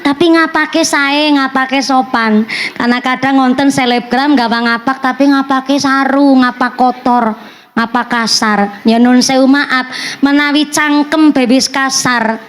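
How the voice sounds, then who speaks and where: A woman preacher with a Banyumasan Javanese accent giving an animated, joking sermon, switching between Javanese and Indonesian. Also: high-pitched, measured pace. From Central Java. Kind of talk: religious sermon.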